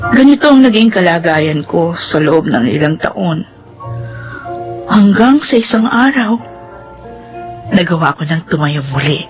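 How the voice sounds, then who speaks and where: Vivian, a slow-speaking resilient mother. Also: on the low side. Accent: Filipino. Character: Vivian, grieving but resilient mother telling her story. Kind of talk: radio drama first-person narration. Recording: music bed under voice.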